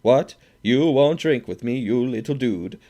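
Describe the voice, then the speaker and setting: A man voicing a burly, drunken Swede who blusters and shouts his demands. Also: sits low, fairly even intonation, moderate pace, natural voice. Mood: belligerent.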